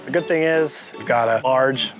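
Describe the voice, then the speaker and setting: An easygoing man with a mid-pitched voice narrates a team vlog in a relaxed way. He sounds upbeat and casual throughout. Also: moderate pace, over music.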